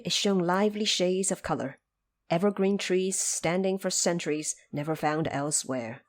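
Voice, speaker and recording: Female narrator for an audio drama: fairly low register, very animated, dramatic storybook delivery.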